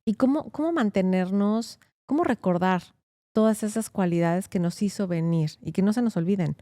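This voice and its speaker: Chatty woman host, warm and dry-sounding. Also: medium pitch, moderate pace, dry recording. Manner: chatty, encouraging, fillers like "¿no?". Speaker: host, immigration lawyer. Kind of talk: casual interview conversation.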